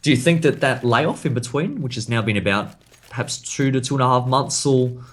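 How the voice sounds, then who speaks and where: A man casting an esports match live, his voice croaky, calling the action and breaking it down in detail. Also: mid-range voice. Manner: rapid, analytical play-by-play. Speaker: esports caster.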